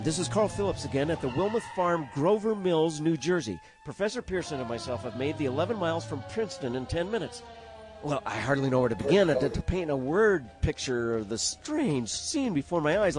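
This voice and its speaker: A man playing an excitable, courteous 1930s radio news reporter with formal announcer diction that gets flustered. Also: fairly high pitch. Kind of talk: 1930s radio drama acting.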